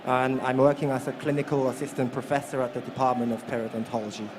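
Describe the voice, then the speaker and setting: A man from South Korea speaking on a live panel as an academic, in a measured, hesitant way. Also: mid-range voice, level tone, noisy background. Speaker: clinical assistant professor, panel member. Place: live stream stage at a busy event.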